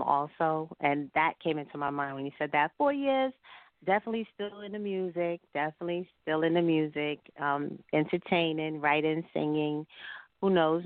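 A woman's voice: low-pitched, no room sound. A woman chatting casually as an interview guest, speaking emphatically and repeating phrases to drive a point home. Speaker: singer and entrepreneur, interview guest. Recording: thin telephone line.

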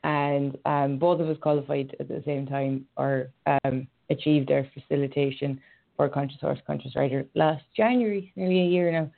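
A woman's voice: low voice, steady tone, moderate pace, gravelly. An Irish woman hosting a casual online radio chat, talking hesitantly with many fillers and restarts. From Ireland. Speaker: radio show host and horse rider. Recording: phone-line call audio.

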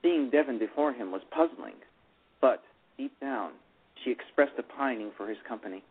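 A man's voice: mid-range voice, lively intonation, moderate pace, husky. A man narrating a novel aloud on a radio play, reading steadily and often correcting himself. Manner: reads steadily, with frequent self-corrections. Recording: tinny phone-line radio.

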